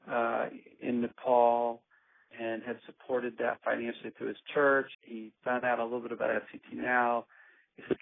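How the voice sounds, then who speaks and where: A man speaks in an earnest, measured way, pausing often to gather his thoughts. His voice is gravelly and keeps a flat, even tone. It comes through a narrow, tinny phone line on a conference call. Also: medium pitch, dry recording. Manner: earnest, measured, with frequent ums and pauses. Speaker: organization leader.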